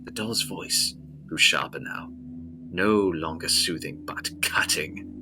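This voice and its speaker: Very deep-voiced man narrating gothic drama. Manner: solemn, dramatic, deliberate phrasing. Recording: music behind the voice.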